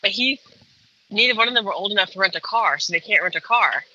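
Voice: medium pitch, expressive, fast talker, sharp tone, highs cut off. A caller giving a family update in casual, chatty, matter-of-fact storytelling. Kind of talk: casual phone chat.

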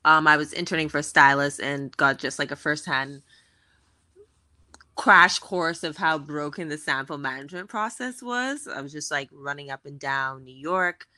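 Woman from the bahamas, 30s, low voice but really bright and forceful, chatty interview guest, dry close sound. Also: normal speed, dry recording. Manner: chatty, upbeat, with frequent "um" and "like". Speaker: guest, fashion tech founder. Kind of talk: casual interview conversation.

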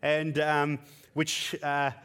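A man's voice: fairly high pitch, monotone, very slow, fairly bright tone, smooth. A man whose first language is Tamil, preaching a sermon in an earnest, conversational way with frequent fillers.

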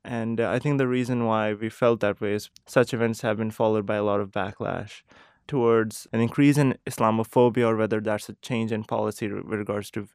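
A young man with a Pakistani accent, answering radio interview questions in a thoughtful, measured and earnest way. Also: fairly low pitch, steady tone, darkish tone, dry recording, studio quality. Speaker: interview guest, medical resident.

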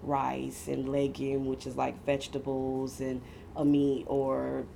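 A woman's voice: very deep voice, monotone, slow pace, creaky, warm tone, a little hiss or hum. A woman in her thirties with an American accent, telling personal stories in an informal chat, often acting out dialogue. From Miami, United States. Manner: informal, frequent quoted dialogue. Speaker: podcast guest. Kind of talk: casual chat, personal storytelling.

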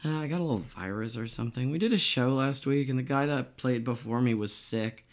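A woman playing a middle-aged rock singer in a radio play, insecure and wry, with a hoarse voice and a halting, self-deprecating way of talking. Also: a bass voice. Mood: evasive. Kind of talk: naturalistic comedic radio play acting.

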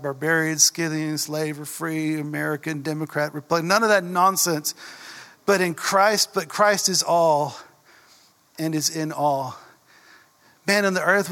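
A man from Texas, preaching a sermon in a folksy, animated way and dropping to a whisper for emphasis. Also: on the high side, big-room sound. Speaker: pastor. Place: church.

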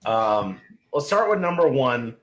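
A man's voice: medium pitch, very animated, measured pace. A man hosting a podcast, putting questions to a guest in a casual interview style over an online voice chat. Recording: muffled lo-fi internet voice call.

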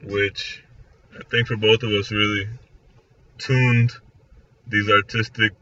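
A man's voice: on the low side, little pitch movement, slow pace, sharp tone. A man in his twenties with an American accent, talking casually in a conversation. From Florida, United States. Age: 20s. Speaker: recent architecture graduate in conversation. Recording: dry but distant, muffled mic.

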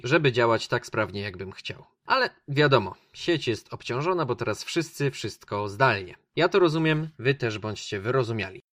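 A man's voice: mid-range voice, fairly bright tone, no echo. A man presents a film show on the radio in an informal, chatty way. His mid-range voice wanders conversationally as he addresses the audience. The sound is dry, with very little room. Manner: chatty, rambling, addresses listeners directly.

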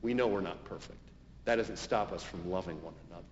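An American man preaching a homily as a pastor, in measured, deliberate phrases with emphatic stresses and pauses. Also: low-pitched, a little mellow, a little hiss or hum. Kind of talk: sermon, homily. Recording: dull, reverberant hall recording.

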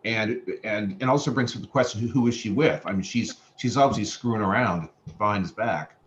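A man with a fairly low voice at a play reading, speaking as the playwright. The sound is slightly muffled, with a strongly echoing room. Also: a little fast. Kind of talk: stage directions and casual discussion. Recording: muffled, noisy, echoey room.

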